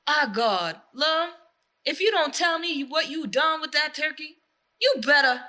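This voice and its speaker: A woman's voice: high-pitched, unhurried, bright, forceful tone. Woman acting piercing, bossy Southern town boss. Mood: impatient, threatening. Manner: scolding, demanding, exclamatory. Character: exasperated, bossy male Southern town boss demanding answers. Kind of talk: theatrical dialect comedy.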